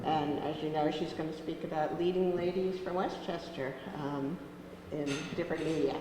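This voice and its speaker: A woman hosting a lecture, introducing the speaker with halting, filler-heavy remarks. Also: sits low, fairly even intonation. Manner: hesitant, many ums and uhs. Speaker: program host introducing a speaker. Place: lecture room with audience. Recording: very echoey room, faint noise.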